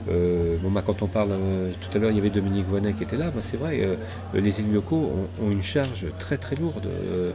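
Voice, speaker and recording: A middle-aged man from southwest France speaks in a slightly low voice, halting often to search for words and restart. A loud crowd chatters around him at a public event. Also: gravelly. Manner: hesitant, many fillers and restarts. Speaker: interview guest. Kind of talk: casual interview, rambling.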